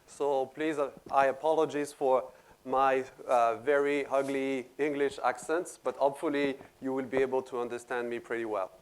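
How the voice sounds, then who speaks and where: A man's voice: mid-range voice, steady tone, moderate pace, clean tone. A man with a French accent, a native French speaker, giving a seminar presentation in an explanatory way and often repeating words as he searches for phrasing. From France.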